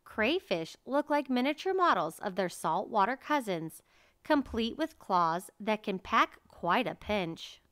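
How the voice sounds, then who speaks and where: A woman reading a children's nature picture book aloud as narrator, in a measured, carefully enunciated way. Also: mid-range voice, sing-song, fairly bright tone, smooth, no room sound, clean studio sound.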